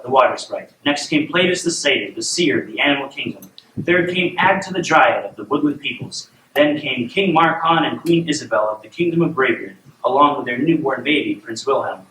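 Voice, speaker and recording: A man playing a warm, firm father who reads his daughter bedtime stories, in scripted drama. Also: medium pitch, expressive, fairly quick, lightly acted. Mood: storytelling. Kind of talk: scripted drama dialogue and storybook reading. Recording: roomy, distant, noisy room sound.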